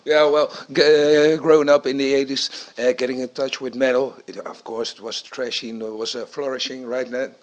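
A man, a band member, recalling his musical roots with enthusiasm, halting with fillers. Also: mid-range voice, little pitch movement, lo-fi sound. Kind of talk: casual interview answers.

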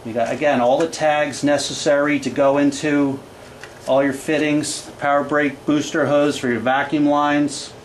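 Male presenter, forties, slightly high voice, plain-spoken product pitch, picked up off-mic with some background noise. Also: flat delivery, a little slow. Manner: salesman-like, plain-spoken, listing specs in clipped phrases. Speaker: engine builder presenting a product video. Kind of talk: informal product walkthrough. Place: small indoor engine workshop. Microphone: camera microphone a few feet away. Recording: echoey small room, distant camera microphone, background noise.

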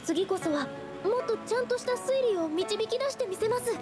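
A woman's voice: very high voice, animated, a little fast. An actress playing a shy, earnest, naive young woman painter in an anime game, speaking politely and hesitantly. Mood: apologetic. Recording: background music under the voice.

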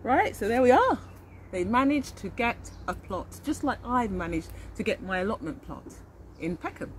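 Casting: woman, middle-aged, highish voice, expressive early-years storyteller, recorded outdoors with light birdsong and ambience. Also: moderate pace, a little hiss or hum. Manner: animated, questioning, addresses children directly. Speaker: teacher reading to young children. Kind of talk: expressive read-aloud of a picture book with explanations for children. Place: outdoors in a leafy garden.

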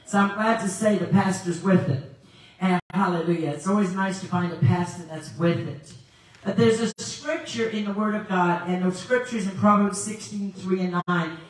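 A woman's voice: medium pitch, normal speed. A woman in her sixties from South Africa, a guest evangelist preaching with a handheld mic and telling stories with laughter. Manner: animated storytelling with laughs. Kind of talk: preaching sermon. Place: large church sanctuary, indoors. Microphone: handheld mic, filmed by far camera. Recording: very echoey hall from far off.

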